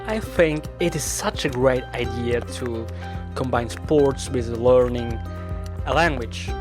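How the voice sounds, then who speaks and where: A man with a Swiss German accent, a native Swiss German speaker, hosting a podcast in a slow, reflective, self-deprecating monologue. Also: on the high side. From Switzerland. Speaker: podcast host.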